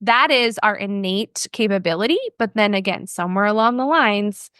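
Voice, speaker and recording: A woman's voice: mid-range voice, normal speed, a bit bright, clean tone, no echo. American woman explaining, dry close sound. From New Orleans, United States. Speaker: podcast guest, therapist and counselor. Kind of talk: conversational explanation.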